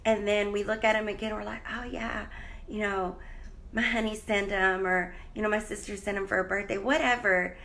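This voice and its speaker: A woman's voice: mid-range voice, steady tone, moderate pace, fairly bright tone. A woman life coach, sounding congested, chatting casually and rambling in a live stream from a hotel room. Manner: chatty, rambling, greets viewers by name. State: congested, slight cough.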